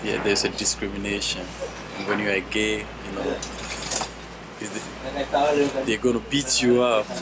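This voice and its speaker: A man's voice: fairly low pitch, steady tone, measured pace, pauses often. A man, telling his migration story earnestly in a documentary interview. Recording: loud background noise.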